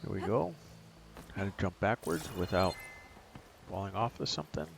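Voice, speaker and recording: Male gaming host: low-pitched, gravelly, casual playthrough commentary over noisy game audio. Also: expressive, moderate pace. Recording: game audio noise behind voice. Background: video game sounds.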